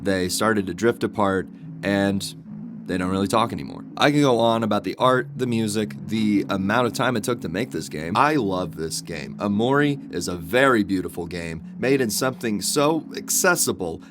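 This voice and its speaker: A man's voice: fairly low pitch, moderate pace, background noise. A man reading a reflective, confessional personal video essay as a scripted narration. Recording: guitar music behind the voice. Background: guitar music.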